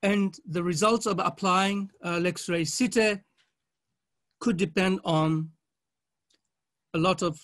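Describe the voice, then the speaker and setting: High-pitched man giving a formal academic talk. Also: fairly even intonation, a bit bright. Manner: measured, halting delivery in short phrases. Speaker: conference speaker, legal academic. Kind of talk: formal academic conference presentation read with slides.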